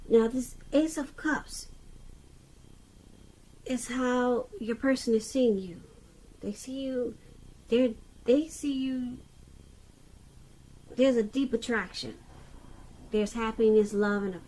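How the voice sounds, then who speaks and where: Slow-paced woman giving a tarot reading. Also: fairly high pitch, darkish tone, rough recording. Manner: halting delivery, pauses mid-sentence and repeats phrases. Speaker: tarot reader. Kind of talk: unscripted tarot love reading addressed to the viewer.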